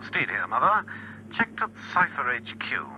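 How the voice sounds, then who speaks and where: An actor playing a suave, understated gentleman agent reporting matter-of-factly over a telephone in a radio drama. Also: mid-range voice, big pitch swings, moderate pace. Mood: measured, suspicious. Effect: telephone filter. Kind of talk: spy radio drama acting. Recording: distant telephone line, faint noise.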